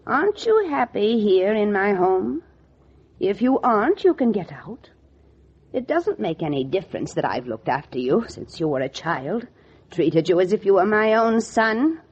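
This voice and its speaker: A woman's voice: mid-range voice, very animated, a little slow, a little mellow, breathy edge. An actress playing a stern, domineering older lady in a 1940s radio drama, scolding in an imperious, theatrical way. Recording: dull vintage 1940s radio recording.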